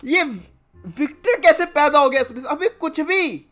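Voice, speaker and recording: Animated young man commentating, music behind. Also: extremely high pitch, a little slow. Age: young adult. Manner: animated, slangy, teasing exclamations. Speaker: gaming YouTuber host. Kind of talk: casual gameplay reaction commentary.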